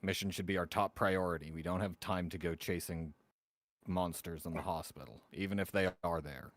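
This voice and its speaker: A man with a very low voice, captured dry and without echo. He speaks casually as a player in a tabletop roleplaying game. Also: fairly even intonation, normal speed.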